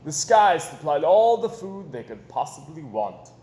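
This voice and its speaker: A man reading a children's story aloud in an expressive, animated storytelling style, with dramatic pauses and emphasis. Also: fairly high pitch. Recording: echoey room with background noise.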